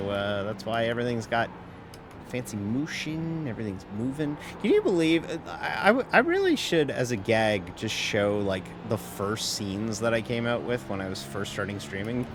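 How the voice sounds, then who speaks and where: A man's voice: mid-range voice, background noise. Rambling male sim-racing streamer. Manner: rambling, filler-heavy, self-mocking, swears casually. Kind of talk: casual live-stream chat while racing.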